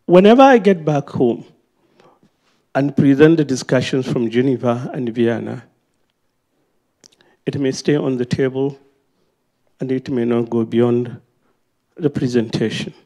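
Slow, mellow Nigerian man speaking publicly. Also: medium pitch. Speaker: audience member asking a question. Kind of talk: public question at a panel event. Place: auditorium at a panel event.

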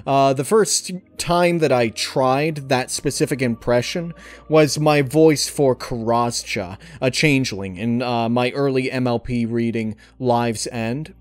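A man's voice: medium pitch, a little slow. A man answering interview questions casually, in a rambling, hesitant and enthusiastic way. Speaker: channel host, amateur voice actor being interviewed. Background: music behind the voice.

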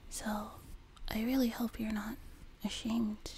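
A woman playing a sweet, nurturing young demon girl in an ASMR roleplay, speaking in a soft, breathy whisper with a gentle, reassuring manner. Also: a little high, little pitch movement. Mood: tender, gently teasing. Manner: gentle, reassuring, slow and unhurried. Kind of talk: whispered ASMR roleplay, intimate personal attention. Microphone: close binaural microphone. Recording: noticeable background noise, close binaural mic.

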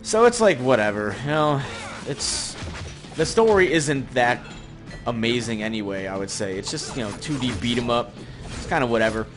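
A man's voice: medium pitch, animated, moderate pace. A young man giving casual gameplay commentary, rambling and sarcastic with some swearing. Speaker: gaming video host. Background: game music and effects.

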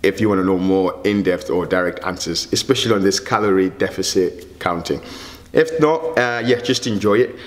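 A man in his thirties, a fitness coach giving a casual, animated lesson to camera with loose conversational phrasing. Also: deep voice, moderate pace, big-room sound. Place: plain indoor studio backdrop.

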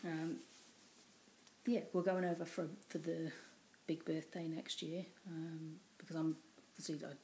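A woman's voice: deep voice, little pitch movement, a little slow, mellow, a little hiss or hum, rough recording. An English woman in her thirties chatting casually on a home craft vlog, rambling with lots of ums. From England. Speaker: cross-stitch vlogger. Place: small room indoors at home. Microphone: built-in webcam mic at close range.

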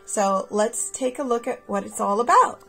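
A woman in her thirties reading a picture book aloud expressively for children, with a slightly husky, creaky voice. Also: a little high, normal speed, bright, forceful tone, soft background music. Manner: addresses children directly. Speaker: read-aloud storyteller for children.

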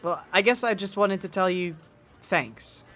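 A woman's voice: medium pitch, a little slow, a bit bright, faint music behind, natural voice. Woman playing an earnest, hesitant young bellboy. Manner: hesitant, eager, deferential. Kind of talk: 1920s-style radio drama acting.

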